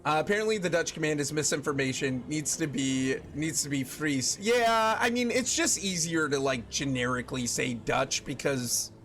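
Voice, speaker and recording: A young man with a slightly raised voice talks loosely to his stream chat, stopping and restarting his sentences as he thinks out loud. Faint ambient noise sits under his voice. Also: a little high, normal speed, few pauses. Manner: chatty, thinks aloud with many restarts and fillers.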